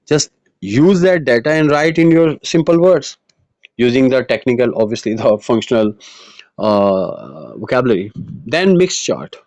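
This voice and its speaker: A male IELTS tutor whose first language is Urdu explains writing tasks in an earnest, patient way, often pausing on "uh" and restarting his sentences. His voice sits at a middle pitch. The recording is dry and close, with no room sound. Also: a little mellow, dry recording, muffled recording. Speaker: IELTS teacher. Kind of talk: online lesson monologue.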